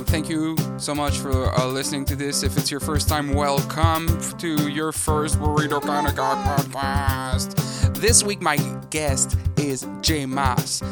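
A man hosting a podcast, talking casually to his listeners and introducing the show. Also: on the high side. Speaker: podcast host.

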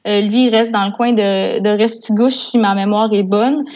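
A woman's voice: mid-range voice, fairly even intonation, normal speed, darkish tone. A woman with a Quebec French accent, an interview guest telling stories in a casual, enthusiastic way. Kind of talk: casual conversational storytelling.

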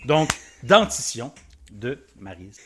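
A man's voice: medium pitch, very animated, slow, a bit bright, slightly rough. A man, chatting on a game panel, rambling and laughing through his picks. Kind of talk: casual chat.

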